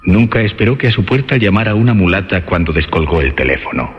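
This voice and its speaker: A man narrating a suspense radio drama in a dramatic, deliberately phrased style. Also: low voice, very animated. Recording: muffled radio sound, music bed behind.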